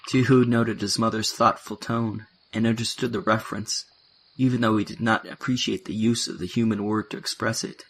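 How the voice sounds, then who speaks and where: A man's voice: fairly low pitch, steady tone, moderate pace, husky. A man narrating a science fiction audio play in a scripted storytelling style.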